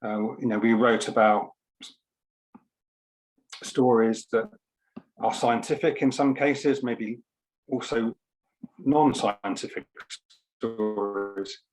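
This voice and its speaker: A man's voice: mid-range voice, slow pace, long pauses, rough recording. A man giving an informal talk at an online launch, speaking in a hesitant, reflective way with careful hedging. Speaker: poet and scientist speaking at a book launch. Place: online event.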